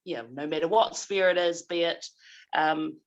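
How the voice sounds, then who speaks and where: A woman with a New Zealand accent speaking on a video-call panel, relaxed and thoughtful with some hesitation. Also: deep voice, moderate pace, lo-fi sound. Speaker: multimedia artist and panelist. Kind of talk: casual self-introduction and discussion.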